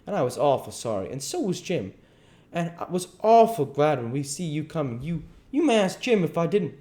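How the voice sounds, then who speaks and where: A man's voice: fairly high pitch, sing-song, normal speed, dark tone, pitched above the performer's own voice. A man voicing an earnest, naive runaway country boy with folksy rural speech in a storybook reading. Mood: anxious explaining. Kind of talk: dramatised audiobook reading.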